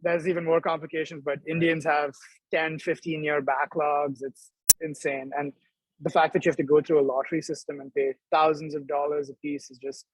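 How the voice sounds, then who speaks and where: Quick-talking young man on a video call. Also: a little high, husky, dry recording, rough recording. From Dubai. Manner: quick, animated, laughs between thoughts. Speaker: startup co-founder, guest. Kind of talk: casual video-call interview. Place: indoor room.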